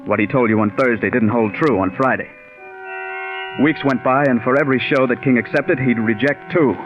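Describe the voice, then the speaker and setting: An actor playing a bitter, stubborn, overworked scriptwriter in 1940s radio drama style, intense and brooding. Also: male voice, medium pitch, moderate pace, over music, natural voice. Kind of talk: 1940s radio acting with first-person narration. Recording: dull 1940s radio recording.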